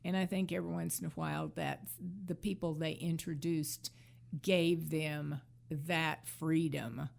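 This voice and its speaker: A woman with a fairly low voice and plenty of vocal fry co-hosts a casual talk. She is agreeable and measured as she offers her commentary. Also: fairly even intonation. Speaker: podcast co-host. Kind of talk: casual chat, commentary on classic TV comedy.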